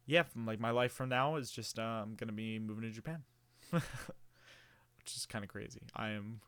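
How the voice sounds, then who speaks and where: A man's voice: lowish voice, moderate pace, fairly bright tone, clean tone, no echo. A man in his early twenties talking casually to camera in a meandering, rambling way, with many fillers and restarts. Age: early 20s. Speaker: vlogger.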